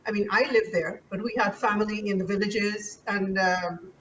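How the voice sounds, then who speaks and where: A woman's voice: medium pitch, steady tone, moderate pace, fairly bright tone, recorded from a distance, slight background noise, poor audio. A woman from Guyana, an author answering interview questions in a thoughtful, hesitant way with many fillers. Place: abroad in the Netherlands.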